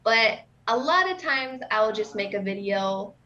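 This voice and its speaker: A woman's voice: a little high, lively intonation, unhurried, sharp tone. A woman from the USA, a guest on a casual podcast, explaining plainly in a friendly way with frequent laughs. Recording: dull lo-fi audio.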